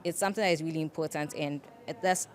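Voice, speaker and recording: A woman's voice: low voice, fairly even intonation, fast talker. A woman panelist with a legal background, speaking earnestly and emphatically in a discussion.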